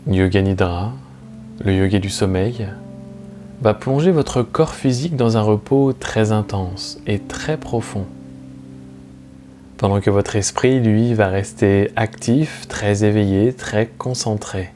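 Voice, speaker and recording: A man guides a relaxation session as a meditation teacher, speaking slowly and calmly, with soothing, measured phrasing and long pauses. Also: fairly low pitch. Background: soft plucked guitar music.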